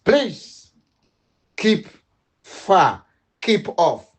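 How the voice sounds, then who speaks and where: A man's voice: high voice, very animated, very slow. A middle-aged man giving an impassioned political appeal, emphatic and repetitive, switching between English and Dutch. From Sierra Leone. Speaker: political commentator.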